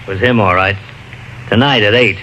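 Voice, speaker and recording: An American actor playing a skeptical, businesslike police lieutenant in an old radio crime drama. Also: male voice, lowish voice, normal speed, lightly acted. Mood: grim. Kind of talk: 1940s-50s radio drama acting. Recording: dull, noisy old radio recording.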